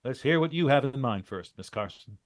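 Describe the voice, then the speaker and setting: A man playing a shrewd, businesslike adventurer who leads his partners in a vintage-style radio drama, questioning briskly and wryly. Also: medium pitch, expressive, dry recording, studio quality, natural voice. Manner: brisk, probing, wry questioning.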